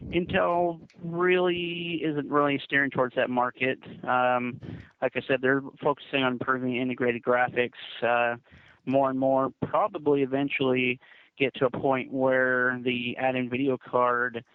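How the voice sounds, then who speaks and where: A man's voice: medium pitch, flat delivery, unhurried, clean tone, no echo. Man on a dull phone line. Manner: patient, polite, hesitant explaining. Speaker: tech company presales support agent. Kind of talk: customer service phone conversation. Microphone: telephone.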